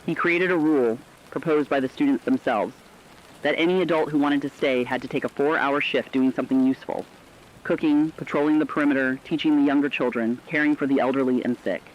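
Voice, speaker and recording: Male actor as radio correspondent, medium pitch, earnest, solemn storytelling, scripted report, somewhat muffled lo-fi recording. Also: steady tone, a little fast, a little hiss or hum, lightly acted. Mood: solemn, moved. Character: earnest male radio correspondent telling human stories of crisis.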